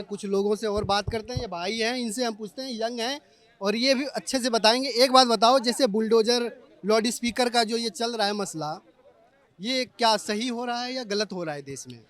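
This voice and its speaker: High-pitched male street reporter. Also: normal speed. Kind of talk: vox pop interviewing.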